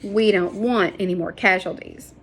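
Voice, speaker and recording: A woman's voice: mid-range voice, normal speed, slight background noise, lightly acted. A woman voicing a commanding, callous male soldier in a children's audiobook, giving curt orders. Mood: coolly threatening. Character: commanding, callous large Spanish soldier man.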